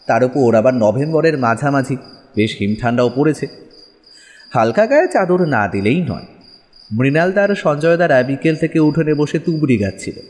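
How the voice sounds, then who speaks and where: Expressive male story narrator. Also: a little high, in a large hall.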